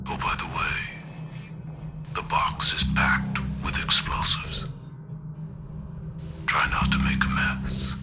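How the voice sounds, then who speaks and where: An actor playing a sinister serial killer in a video game, taunting captives in a hushed, gravelly, deliberate and menacing voice. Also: male voice, faint music behind. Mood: calm menace. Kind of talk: video game villain recorded message.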